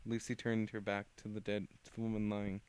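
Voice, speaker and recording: Young man reading with halting restarts. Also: fairly low pitch, monotone, a little mellow, slight background noise. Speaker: fan fiction reader. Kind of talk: reading his own fan story aloud.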